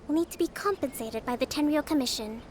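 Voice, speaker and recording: A woman voicing a calm young female leader in a video game, measured and diplomatic in negotiation. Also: high-pitched, natural voice.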